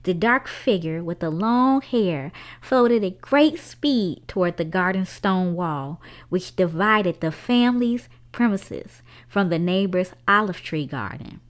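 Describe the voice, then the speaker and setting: Expressive woman reading a children's story. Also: on the low side, slow, few pauses. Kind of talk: expressive read-aloud story narration.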